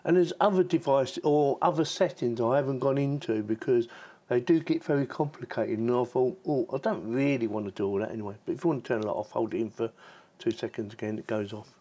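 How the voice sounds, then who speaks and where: A man with a mid-pitched voice presents a hands-on tech review. He talks in an informal, chatty way, as if thinking aloud while he shows how things work. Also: a little fast, mellow. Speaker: tech reviewer and channel host. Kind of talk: casual product review and demonstration.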